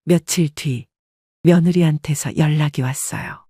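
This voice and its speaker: An older woman with a husky voice reads a first-person confessional story as scripted narration. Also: low voice, measured pace, dark tone, dry recording, clean studio sound.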